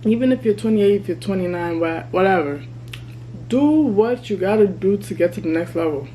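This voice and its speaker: A woman in her thirties talking candidly to her vlog camera while she eats, chatty and relaxed. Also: mid-range voice, normal speed, slight background noise. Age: 30s. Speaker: vlogger. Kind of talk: casual mukbang vlog chat. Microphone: camera mic.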